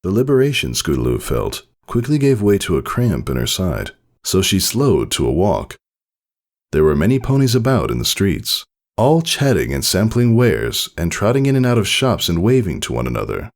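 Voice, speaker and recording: A man narrates fiction in a low, gravelly voice. His storytelling is expressive and scripted, with intonation that moves around a great deal. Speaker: narrator reading fan fiction. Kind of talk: scripted audiobook-style narration.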